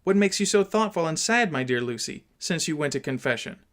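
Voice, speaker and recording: A man voicing a worried, devout mother in an audiobook, questioning in an earnest, gently probing way. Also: a little high, animated, a little fast, voice pushed up. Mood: gentle concern. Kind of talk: dramatised audiobook reading.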